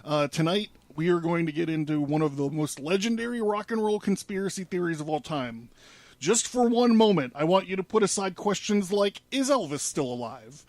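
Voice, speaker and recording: A man's voice: high voice, animated, normal speed, darkish tone, dry recording. A man hosting a podcast in a casual, joking, slangy way with plenty of swearing. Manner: irreverent, profane, slangy. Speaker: podcast host. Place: another person's studio.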